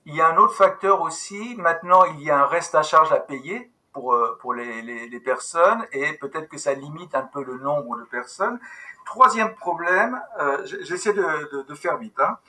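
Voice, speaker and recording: Bright-voiced man leading an online meeting. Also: a little high, animated. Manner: brisk, hesitant restarts, businesslike. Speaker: meeting host, company manager. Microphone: video call.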